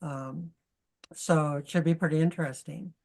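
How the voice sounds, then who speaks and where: A middle-aged woman hosting a webinar and introducing a guest over a video call, in a halting, conversational way, often correcting herself. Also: low-pitched, steady tone, vocal fry, darkish tone. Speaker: host introducing a guest speaker.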